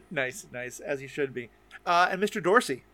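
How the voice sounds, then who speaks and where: A man's voice: on the high side, lively intonation, moderate pace, sharp tone. A man hosting a casual interview podcast, talking enthusiastically in rambling questions. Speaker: podcast host interviewing guests.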